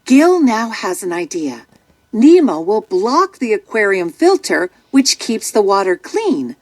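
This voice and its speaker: A woman narrating a scripted children's story in an expressive, dramatic way. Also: mid-range voice, unhurried.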